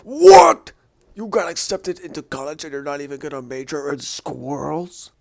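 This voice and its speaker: A man's voice: fairly high pitch, expressive, moderate pace, vocal fry, darkish tone. A man voicing a small, sassy female squirrel sidekick in a comic dub, skeptical and nagging. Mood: indignant. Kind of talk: comic book dub voice acting.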